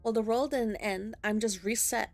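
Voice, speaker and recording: A woman's voice: on the high side, normal speed, soft background music. A woman doing casual playthrough commentary of a game, blunt and sardonic, swearing now and then. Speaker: gaming streamer. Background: visual novel game audio.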